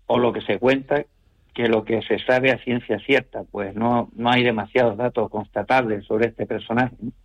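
A man speaks at a middle pitch in a measured, reflective way, pausing with small hesitations and tag questions. He is a novelist being interviewed. The sound is slightly muffled, like a radio broadcast. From Canary Islands.

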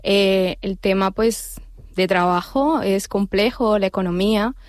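Nervous Colombian woman, earnest and halting. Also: medium pitch, fairly even intonation, dry recording, clean studio sound. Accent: Colombian Spanish, Cali. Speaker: radio guest.

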